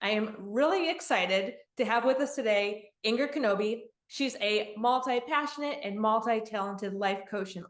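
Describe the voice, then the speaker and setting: Enthusiastic woman host, echoey room. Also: fairly high pitch. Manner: enthusiastic, welcoming. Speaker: interview host. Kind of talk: hosting an interview.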